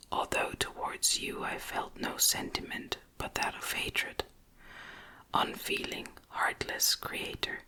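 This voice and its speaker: A woman narrator reading a gothic novel as gentle ASMR, in a breathy, unvoiced whisper with deliberate, short phrases. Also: rough recording.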